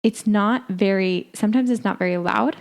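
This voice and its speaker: A young adult woman co-hosting a podcast, talking in a reflective, halting way with frequent filler words. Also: mid-range voice. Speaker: podcast co-host. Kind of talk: casual chat.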